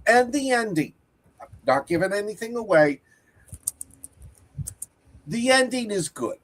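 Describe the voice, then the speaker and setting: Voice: mid-range voice, very animated, measured pace. A podcast co-host and teacher with a Boston accent, rambling casually about movies and correcting themselves mid-sentence. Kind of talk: casual movie review chat.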